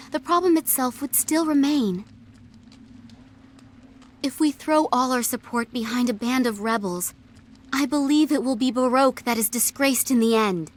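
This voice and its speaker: An actress playing a gentle, principled young princess in a video game cutscene, speaking formally and earnestly. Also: female voice, a little high, slight background noise, close to an everyday voice.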